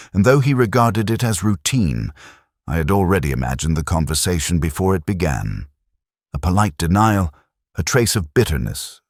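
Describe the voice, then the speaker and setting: A man giving a measured, deliberate first-person reading of a literary detective story, as its narrator. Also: low-pitched, no room sound, clean studio sound.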